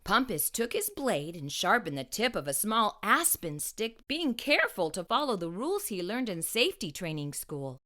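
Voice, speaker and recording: Woman, animated storybook narration, bright tone, medium pitch, expressive read-aloud for children. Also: normal speed, few pauses.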